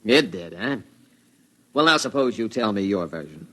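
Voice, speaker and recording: A man's voice: lowish voice, big pitch swings, normal speed, fairly bright tone. A man playing a brusque, skeptical police sergeant in an old-time radio drama, curt and sarcastic. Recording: vintage radio drama recording.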